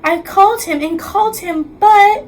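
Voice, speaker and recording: A woman's voice: extremely high pitch, normal speed, a little hiss or hum, pitched above the performer's own voice. A woman voicing a little old male elf from a storybook, a dutiful, anxious helper who speaks hesitantly and deferentially. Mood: worried.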